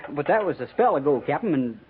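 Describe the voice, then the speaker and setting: A man's voice: fairly high pitch, big pitch swings, quick pace. An actor playing a wary, folksy frontier army man with a rural American accent in a 1950s radio western. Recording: noisy, tinny 1950s radio recording.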